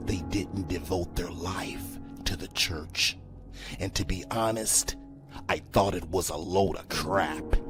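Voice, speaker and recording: A man reading a scripted first-person horror story in a hushed, often breathy delivery. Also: deep voice. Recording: prominent background music under voice. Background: music.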